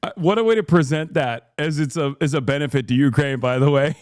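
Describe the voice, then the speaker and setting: A man streaming live and reacting to a debate clip, joking and laughing often, dropping to low mutters and jumping to loud high outbursts. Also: fairly quick, dry recording, studio quality. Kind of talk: casual reaction commentary.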